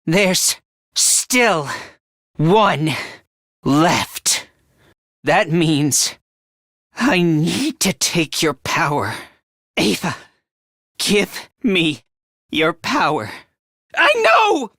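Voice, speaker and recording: Casting: fragile, timid teen boy for a video game; high androgynous voice, slow and hesitant, swinging widely in pitch. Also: huge pitch swings, pushed and bright, dry recording, clean studio sound, heavily acted. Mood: unhinged, intense. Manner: timid, hesitant, stammering, emotionally volatile. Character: anxious, self-deprecating, frail teenage boy, unstable under strain. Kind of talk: video game voice acting, dramatic.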